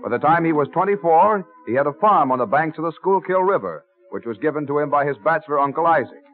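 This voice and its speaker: A man's voice: fairly high pitch, animated, fast. A man giving scripted narration as the narrator of an old-time radio drama. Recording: tinny old radio recording. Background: music.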